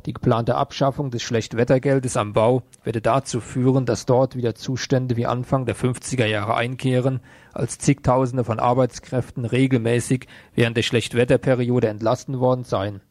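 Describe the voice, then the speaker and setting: A man reads the news as a radio presenter. His delivery is sober and even, with little melody, in a close, dry recording. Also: mid-range voice, moderate pace, dry recording. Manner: sober, matter-of-fact. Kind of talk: scripted news reading.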